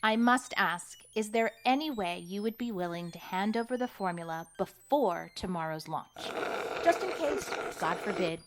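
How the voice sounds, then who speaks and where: A woman's voice: medium pitch, expressive, normal speed, natural voice. A woman playing a formal, exasperated agency chief under pressure in a comedic audio drama. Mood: polite, strained.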